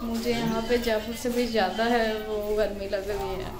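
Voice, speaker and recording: Hoarse lady vlogging on her phone outside, kinda high voice, loud crowd all around. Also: flat delivery, moderate pace. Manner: chatty, addresses viewers directly. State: hoarse, sore throat. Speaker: vlogger host. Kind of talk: casual travel vlog. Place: outdoor tourist garden in hot sun.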